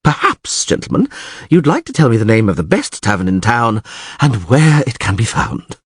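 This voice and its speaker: A man voicing a vain, excitable male bard in a fantasy storybook, theatrical and exclamatory. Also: mid-range voice, normal speed, husky, dry recording, studio quality. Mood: airy, haughty.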